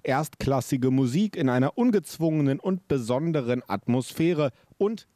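A man's voice: mid-range voice, lively intonation, moderate pace, warm tone, no echo, studio quality. A man reading a scripted radio report from a concert event. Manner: smooth, read-out narration.

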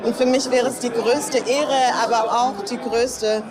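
A woman's voice: fairly high pitch, steady tone, measured pace. A woman in her thirties from Göttingen, a mayoral candidate speaking earnestly in a soundbite. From Göttingen, Germany. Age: 30s. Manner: earnest, heartfelt. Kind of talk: interview soundbite. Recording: crowd noise behind. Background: crowd.

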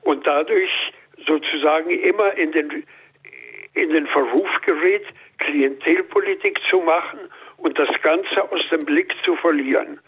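A man's voice: fairly high pitch, measured pace, breathy edge. A man calling in to a radio show, giving an opinionated comment with frequent hesitations. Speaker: caller. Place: calling from Berlin by phone. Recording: telephone line.

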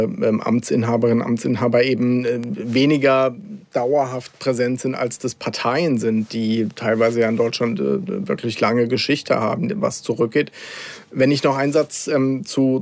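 A man, a political science professor, explaining carefully on a radio call-in show with measured, academic phrasing and frequent hesitations. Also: mid-range voice, a little mellow, no room sound.

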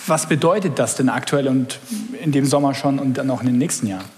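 A man's voice: mid-range voice, fast talker, a little mellow. A man moderating a public panel discussion with brief, guiding prompts. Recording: hall reverb.

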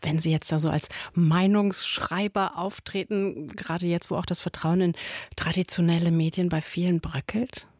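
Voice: medium pitch, fairly even intonation, normal speed, darkish tone, dry recording. Polite radio interviewer, dry sound. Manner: polite, formal questioning.

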